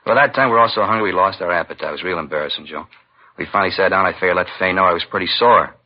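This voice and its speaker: An actor playing a folksy, grumbling police detective in a 1950s radio drama, conversational and plaintive. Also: male voice, low-pitched, rapid-fire. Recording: dull old radio recording.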